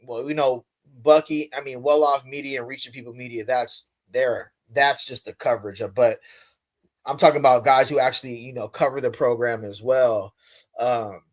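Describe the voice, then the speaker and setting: A man talking casually on a live stream, animated and slangy, his loudness swinging up and down. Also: medium pitch.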